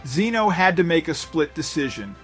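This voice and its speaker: A male narrator reads a scripted story in a dramatic, suspense-building way. His voice sits a little higher than average for a man. Soft music runs behind the voice-over. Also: sing-song, normal speed. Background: background music.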